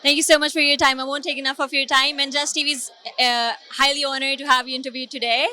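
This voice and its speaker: A woman's voice: high-pitched, level tone, quick pace, bright, forceful tone, a little hiss or hum. A woman reporter interviewing guests at a film premiere, effusive and enthusiastic. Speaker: TV event reporter. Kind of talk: red-carpet interview.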